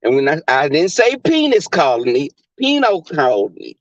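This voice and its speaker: A Southern-accented man talks loosely on a live stream, crass and flamboyant, with a voice pitched a touch high that keeps jumping into squeals. The audio is dull and lo-fi, and the room is dry. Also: huge pitch swings, normal speed, dry recording. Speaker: livestream host. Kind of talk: casual live-stream chat.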